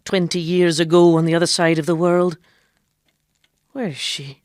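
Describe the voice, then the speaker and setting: A woman's voice: on the low side, flat delivery, normal speed, no echo, clean studio sound, natural voice. An actress playing a bitter, defiant, foul-mouthed woman who lived as a man, in a period radio drama. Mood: angry, accusing. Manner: sharp-tongued, theatrical.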